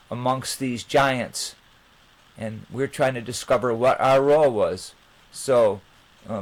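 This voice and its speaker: A man hosting his own channel, talking informally to viewers in a rambling, halting way. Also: on the low side. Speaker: channel host.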